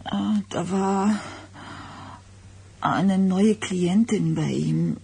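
An actress playing an anxious, hurt pregnant wife in a German radio drama. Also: female voice, mid-range voice, very slow, creaky, dark tone, slightly rough, heavily acted. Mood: troubled, hesitant.

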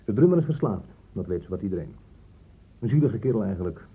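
An actor playing a gruff, hard-line police inspector in a radio drama, blunt and sarcastic, with emphatic delivery. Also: male voice, on the low side, big pitch swings, quick pace, narrow, tinny audio, full character voice. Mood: matter-of-fact.

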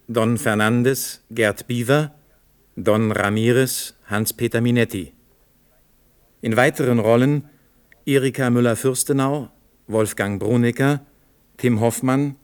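Announcer: male, mid register, unhurried formal credits read. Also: medium pitch, clear, resonant tone. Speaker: radio announcer.

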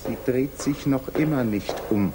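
An actor narrating a 1950s literary radio drama as a grave, intimate storyteller with measured, dramatic delivery. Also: male voice, medium pitch, very noisy, lightly acted. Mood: hushed, intense. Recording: 1950s radio recording, music behind.

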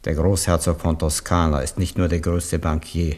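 A man's voice: deep voice, little pitch movement, a little mellow. An actor playing a cautious, insinuating adviser who warns the king in a radio play. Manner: measured, warning, insinuating. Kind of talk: 1970s radio play acting.

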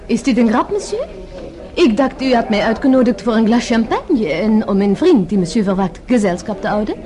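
A woman's voice: on the high side, expressive, moderate pace, crowd noise, close to an everyday voice. A woman playing an elegant, haughty French-speaking lady in a radio play, formal and coolly polite, with a French accent. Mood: puzzled, polite. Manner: formal, cool, pointed politeness. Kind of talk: radio drama acting. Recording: music playing behind the voice.

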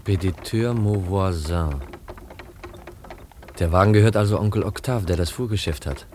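A man's voice: sits low, moderate pace, a little mellow, lightly acted. A man playing a shy, proud, lonely young orphan in a 1950s German radio drama, hesitant and brooding, on a noisy old recording. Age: young adult.

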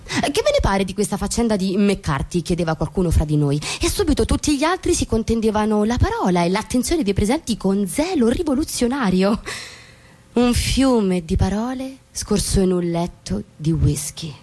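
An actress playing an ironic suburban housewife who narrates a literary stage drama in an expressive, theatrical way. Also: female voice, mid-range voice, moderate pace, no echo. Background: music with violin.

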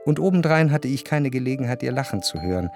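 A man's voice: mid-range voice, expressive, close to an everyday voice. Actor as reflective male narrator over music. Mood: wistful, contemplative. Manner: contemplative, lyrical, measured phrasing. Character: reflective, nostalgic gentleman narrator recalling his past. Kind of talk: literary radio drama narration. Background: faint instrumental music.

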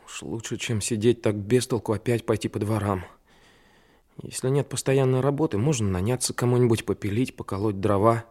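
An actor playing an earnest, anxious, brooding seventeen-year-old trainee detective in a radio drama. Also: male voice, on the low side, brisk, a little mellow, close to an everyday voice. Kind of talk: Soviet radio play acting, inner monologue.

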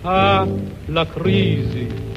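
A man singing a vintage comic song with a slow, playful delivery. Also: fairly high pitch, animated. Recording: dull old song recording, loud music.

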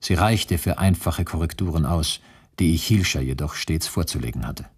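A grave prisoner in a radio play, recounts things soberly and precisely. The voice is very low and rough-edged, restrained and matter-of-fact. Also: male voice, moderate pace. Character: grave male camp prisoner and forger recounting his past. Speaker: narrator. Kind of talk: sober radio drama narration.

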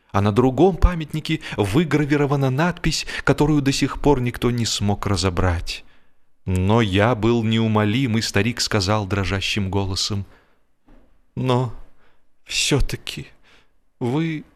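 A man narrating humorous literary prose from a script, as an audiobook narrator. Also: lowish voice.

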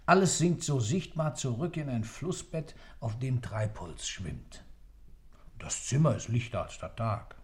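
Man acting a cool detached type telling things in literary detail, mid voice, measured, radio drama. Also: medium pitch, darkish tone, lightly acted. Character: articulate man giving a detached, sardonic account. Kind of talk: radio play monologue.

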